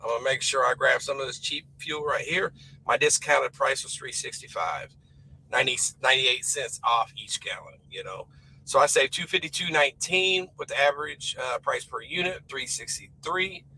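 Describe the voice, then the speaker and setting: Hoarse, raspy trucker with gravelly edge. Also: male voice, medium pitch, moderate pace, bright, forceful tone, slight background noise, low-quality recording. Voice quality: rough and raspy. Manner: rambling, folksy, emphatic about saving money. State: hoarse from a cold. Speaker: owner-operator truck driver. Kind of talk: casual vlog talk to camera.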